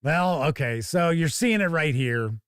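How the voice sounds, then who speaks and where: A man's voice: a little high, expressive, unhurried, fairly bright tone, clean tone, dry recording, studio quality. A man hosting a tabletop gaming show, chatting casually and thinking aloud as he gives live first-look commentary.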